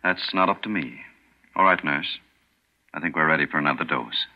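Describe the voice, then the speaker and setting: An actor playing a cold, sinister doctor in a 1940s radio thriller, with calm, menacing delivery. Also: male voice, rumbling low, normal speed. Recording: dull old radio broadcast.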